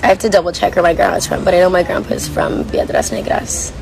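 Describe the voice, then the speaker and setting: A young woman with a hoarse, worn voice chats casually while reading viewer comments on a livestream from a coffee shop. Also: on the low side, huge pitch swings, fast, crowd noise. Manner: chatty, rambling, reads comments aloud. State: hoarse, lost voice. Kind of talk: casual chat.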